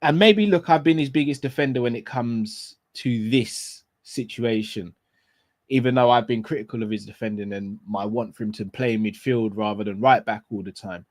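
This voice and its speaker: A man hosting a casual live fan show, his voice raspy and a bit hoarse and tired, talking in an opinionated way and picking apart wording with repeated rhetorical questions. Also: mid-range voice, normal speed. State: hoarse and tired after illness. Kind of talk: casual live football talk.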